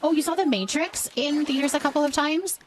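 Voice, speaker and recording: A woman's voice: sits high, fairly quick, a little hiss or hum. A middle-aged woman hosting a casual live stream, chatting informally and thanking viewers as she answers their comments.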